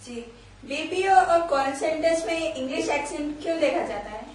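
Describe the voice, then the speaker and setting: A woman's voice: high voice, expressive, moderate pace. A woman interviewer who asks short, direct questions and gives brief acknowledgements. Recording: echoey room, distant mic, faint noise.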